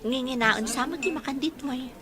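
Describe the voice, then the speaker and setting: An actress with an Ilocano accent playing a frank, caring young woman being courted in an expressive radio drama. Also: high-pitched, brisk, background noise, lightly acted. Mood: frank, teasing.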